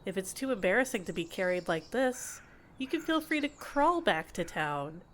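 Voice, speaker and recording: A woman playing a possessive, condescending fantasy healer in an ASMR audio roleplay, with a measured, intimate, teasing and reproachful delivery. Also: medium pitch, very animated, a little hiss or hum, natural voice. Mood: teasing, bossy. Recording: insect and bird ambience behind voice. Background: outdoor nature ambience with crickets and birds.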